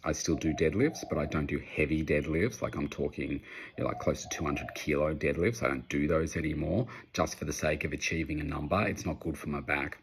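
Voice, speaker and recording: Very deep, gravelly Australian man. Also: slight background noise. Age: 50s. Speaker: personal trainer answering audience questions. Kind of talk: casual unscripted advice talk.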